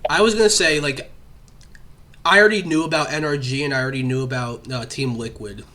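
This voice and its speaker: A man's voice: mid-range voice, steady tone, a little fast, pushed and bright. A young man hosting a casual podcast chat, rambling with lots of fillers and nursing a cough. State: has a cough. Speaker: podcast host.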